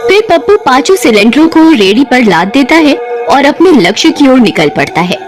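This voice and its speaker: Female storyteller for cartoon ghost tales: high, animated delivery over a music bed. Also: moderate pace.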